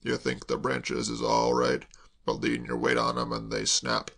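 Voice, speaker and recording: A man voicing a mournful, doom-laden male servant, speaking slowly and heavily. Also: monotone, creaky, fairly bright tone, full character voice. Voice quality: deep, slow, heavy. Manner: mournful, dwells on disasters. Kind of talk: audiobook character voice.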